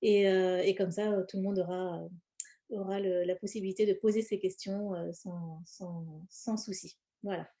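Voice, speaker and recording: A woman presents in an informal, explanatory way. Her voice is soft-edged and mellow at a medium pitch. She often hesitates and pauses partway through her phrases. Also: flat delivery. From born in India, grew up in France. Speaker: presenter, cosmetics brand founder.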